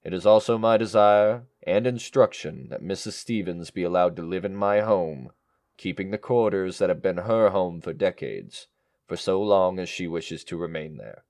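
An actor playing an unflappable male attorney in an audio drama, speaking in a measured, officious way. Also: deep voice, a little mellow, dry recording, studio quality. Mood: formal, declarative. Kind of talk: audio drama acting, reading a will.

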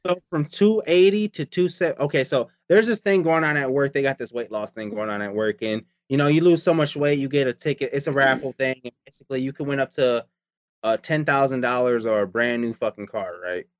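A man in easy, casual conversation, his voice sitting at an ordinary middle pitch and recorded dry and close with no room sound. He rambles, repeats phrases and swears casually as he talks. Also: fairly quick, no room sound. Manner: rambling, profane, repeats himself. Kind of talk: casual chat.

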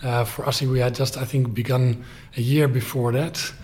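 Brisk, businesslike male podcast guest. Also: mid-range voice, steady tone, a little mellow. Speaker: guest, company founder. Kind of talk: podcast interview.

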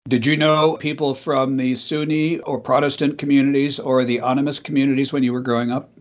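A man's voice: medium pitch, level tone, fairly quick, dull audio. A man hosting an interview, asking questions conversationally with friendly, brief affirmations. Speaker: interview host.

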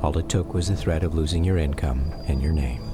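A man narrating a personal radio drama in a reflective, measured storytelling style. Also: rumbling low. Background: music.

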